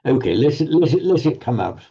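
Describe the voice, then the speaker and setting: A man teaching Sanskrit pronunciation in an online group lesson, halting and restarting words, encouraging his students. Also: medium pitch, big pitch swings, warm tone, husky, highs cut off.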